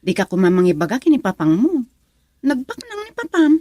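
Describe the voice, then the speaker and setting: A woman's voice: on the high side, huge pitch swings, a little fast, warm tone, dry recording, studio quality. A woman playing a sassy, bantering young schoolgirl friend in a radio drama, with a Filipino accent. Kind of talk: Ilocano radio drama acting.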